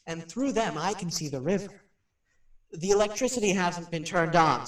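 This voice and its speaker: High, animated radio personality. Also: male voice, distant mic. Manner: chatty, narrates what he sees, hesitant asides. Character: skeptical, flippant radio personality recording his haunted house visit. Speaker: radio host. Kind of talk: radio drama acting, on-the-spot narration. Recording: roomy echo, off the microphone.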